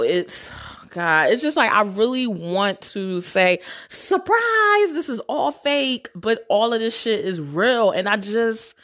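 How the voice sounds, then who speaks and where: A woman's voice: mid-range voice, fairly bright tone. A woman hosting a casual storytelling podcast, candid and sassy, swearing freely, laughing often and calling her listeners sisters. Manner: addresses listeners as sisters and girl. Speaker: podcast host.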